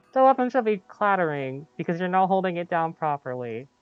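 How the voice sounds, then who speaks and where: A woman's voice: lowish voice, clean tone, dull audio. A woman streaming a video game with casual commentary on what she plays.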